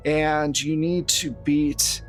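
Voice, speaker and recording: A man's voice: on the high side, flat delivery, slow pace, soft background music. A man narrating a horror tabletop game as game master, in a casual, conversational storytelling way.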